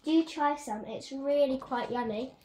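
A woman's voice: sits high, unhurried, darkish tone, slight background noise. A young girl reading a children's picture book aloud on her bed, with chatty asides. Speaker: young reader. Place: bedroom, on a bed. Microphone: phone camera on a stand. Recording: echoey room.

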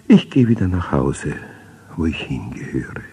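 Man as a husky, discontented little hen. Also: rumbling low, big pitch swings, measured pace, dark tone, full character voice. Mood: sighing, resigned.

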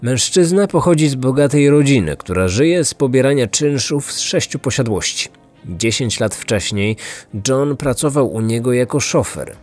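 A man's voice: mid-range voice, expressive, normal speed, a little mellow. A man narrating a scripted true-crime podcast. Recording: music underneath.

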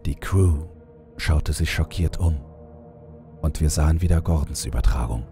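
A man's voice: rumbling low, normal speed, vocal fry, over music. A man reading scripted first-person horror fiction as an audiobook narrator.

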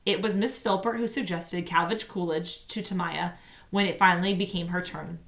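Female teacher, thirties, lowish voice, expressive children's read-aloud. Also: moderate pace. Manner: expressive, acts out dialogue. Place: small room at home, indoors.

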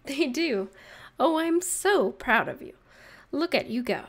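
A woman's voice: high-pitched, very animated, slow pace, exaggerated voice. A woman playing a sarcastic narrator in a comedic audio roleplay, teasing and mock-sympathetic as she mocks a listener. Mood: condescending. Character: sarcastic, mocking narrator gleefully needling a hungover catgirl. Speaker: voice actress.

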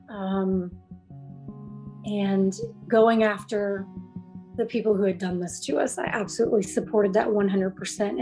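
A woman in her forties, a Navy veteran, reflecting earnestly on a webcam in a room at home, with frequent pauses and fillers. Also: mid-range voice, darkish tone, poor audio. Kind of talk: recorded testimonial.

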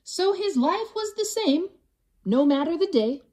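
A woman reading a rhyming storybook aloud to children in an expressive, theatrical, drawn-out way. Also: extremely high pitch.

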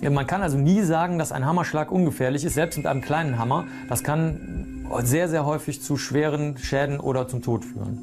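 A man with a fairly high voice explains as a medical expert. He talks colloquially and off the cuff, with clear music behind him. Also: a little fast. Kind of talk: spontaneous explanation. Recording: voice with music underneath.

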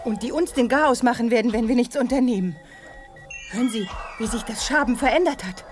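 An actress playing an anxious, alert young space adventurer in a children's sci-fi radio play, speaking dramatically. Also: female voice, on the high side, brisk, music in the background, natural voice.

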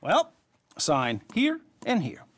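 An actor playing a glib, wry middle-aged American banker in a western video game, drawling theatrically with jovial condescension. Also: male voice, high-pitched, huge pitch swings, slow, slight background noise, heavily acted. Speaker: bank manager. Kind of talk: video game cutscene acting.

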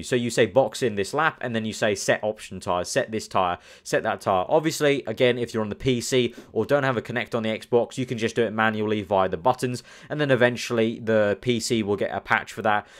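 A young man from the UK, chatting casually as a gaming channel host, rambling and thinking aloud with lots of fillers. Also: lowish voice. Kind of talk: casual commentary over screen.

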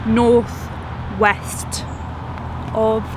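A woman's voice: on the high side, flat delivery, very slow, background noise. A young woman chatting casually outdoors into a camera mic as a travel vlogger. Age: young adult. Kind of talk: casual chat. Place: outdoors by woods and lakes. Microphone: camera-mounted shotgun mic.

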